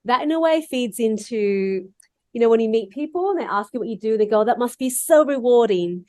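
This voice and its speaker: A woman's voice: on the high side, expressive, brisk, mellow, no echo, clean studio sound. A woman moderating a conference session, making casual, accommodating remarks to speakers and audience.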